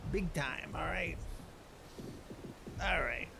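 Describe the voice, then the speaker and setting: A man's voice: a little high, slow pace, gravelly, background noise, full character voice. A man voicing a brash wasteland chef who rambles in emphatic, slangy wise-guy talk as he recounts a legend over a video game. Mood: excited storytelling. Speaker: narrator of a roleplay series. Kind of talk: improvised in-character game commentary.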